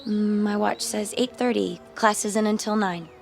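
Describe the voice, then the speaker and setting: A woman's voice: medium pitch, fairly even intonation, measured pace, creaky. A young woman playing a frank, curious schoolgirl in a radio drama, casual and wry in manner. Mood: friendly, tentative. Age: young adult. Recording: music bed and vehicle sounds behind.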